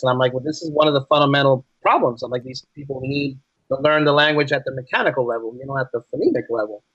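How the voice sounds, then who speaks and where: A man's voice: mid-range voice, a bit bright, husky, dry recording, dull audio. A man talking as an interview guest, explaining things conversationally and in anecdotes. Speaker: podcast guest, ESL teacher. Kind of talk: casual interview chat.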